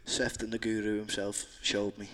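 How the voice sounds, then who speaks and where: A man's voice: lowish voice, monotone, moderate pace, a bit bright, a little hiss or hum, low-quality recording. Chatty young man joking with his bandmates. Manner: chatty, jokey, laughs often. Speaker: band singer and co-host. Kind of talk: casual banter.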